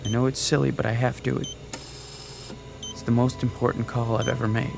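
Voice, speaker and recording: Gravelly, anguished father, halting and pleading. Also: mid-range voice, flat delivery, over music. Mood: tender, grieving. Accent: American. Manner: halting, pleading, repeats words. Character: anguished father at his young son's hospital bedside. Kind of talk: radio drama acting.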